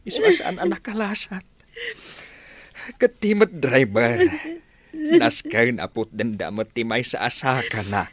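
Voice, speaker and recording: A performer acts a sombre village man in a radio drama. The delivery is solemn and consoling, with lively swings of pitch and a dry sound. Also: slow pace, long pauses, no room sound, heavily acted. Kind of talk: Ilocano radio drama acting.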